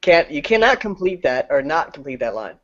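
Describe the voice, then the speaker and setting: A man's voice: a little high, very animated, a little fast, a loud crowd. Guy hosting a live chat, jokey, laughs a lot, voice jumps around, muffled call sound. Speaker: livestream host. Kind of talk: casual group chat. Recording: dull lo-fi online call audio.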